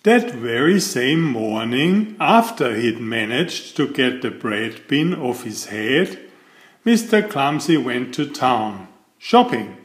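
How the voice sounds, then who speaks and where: Animated, unhurried male storyteller. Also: mid-range voice, roomy sound. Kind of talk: picture book read aloud.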